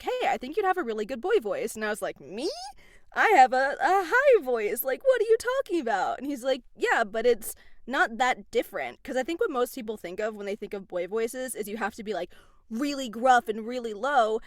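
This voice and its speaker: A woman, a voice actress answering interview questions in an animated, chatty way. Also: on the high side, brisk, no echo, clean studio sound. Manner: chatty, animated, laughs between phrases. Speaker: voice actress guest.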